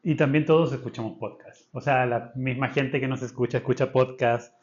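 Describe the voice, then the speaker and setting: A man's voice: medium pitch, steady tone, moderate pace, darkish tone. A man from Chile, co-hosting a podcast in casual chat. He thinks aloud with restarts and states his principles firmly. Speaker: podcast co-host. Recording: slightly dull lo-fi sound.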